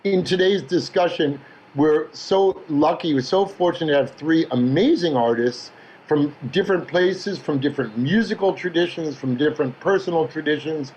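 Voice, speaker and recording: A man moderating an online panel discussion, introducing the event and guests with measured, emphatic phrasing. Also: fairly high pitch, darkish tone, poor audio. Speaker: discussion host.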